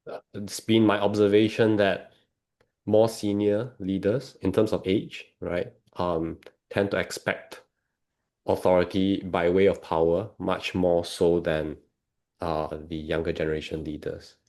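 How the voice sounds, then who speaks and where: A man speaks as an interview guest. He explains things in a measured, conversational way, like a coach, checking in with the listener as he goes. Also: sits low, level tone, darkish tone. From Singapore.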